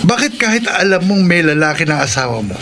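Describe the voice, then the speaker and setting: A jittery, stammering school guard and loyal buddy in a radio drama, his voice pitched high and tight, with a hiss of noise behind him. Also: very animated, fast talker. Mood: nervous. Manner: stuttering, nervous. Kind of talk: classic radio drama acting. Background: clear noise.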